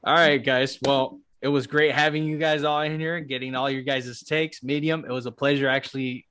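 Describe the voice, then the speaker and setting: A man hosts a podcast in a loose, casual way. His voice sits at a middle pitch, and he talks informally, with some swearing. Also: level tone, moderate pace, fairly bright tone, slight background noise. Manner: informal, profane. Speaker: podcast host. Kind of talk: casual chat. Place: remote group call.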